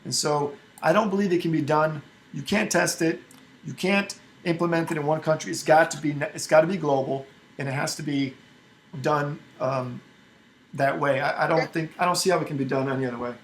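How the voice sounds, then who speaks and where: Man from Albania with a high voice, forceful and argumentative in a group meeting, restarts his sentences a lot. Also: fairly even intonation, brisk, slight background noise. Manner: emphatic, argumentative, with frequent restarts. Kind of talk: casual group discussion.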